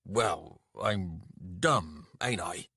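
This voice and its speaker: A man's voice: medium pitch, unhurried, a bit bright, husky, no echo, studio quality. A man voicing a big, hot-tempered, jealous soldier in an audiobook, with a coarse, sneering manner and bellowed delivery. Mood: sullen. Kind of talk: dramatised audiobook dialogue.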